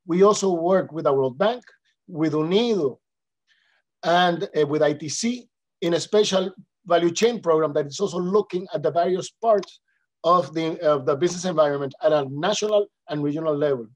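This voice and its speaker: A man's voice: fairly high pitch, darkish tone. A man from the Dominican Republic with a Spanish accent, a native Spanish speaker giving a polite webinar presentation with frequent fillers and restarts. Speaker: panelist representing an international organization.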